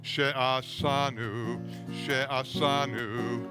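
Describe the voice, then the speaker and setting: A man leading a worship service, singing slow repeated lines and then talking casually to the congregation in a synagogue sanctuary. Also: medium pitch, fairly even intonation. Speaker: service leader. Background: guitar music.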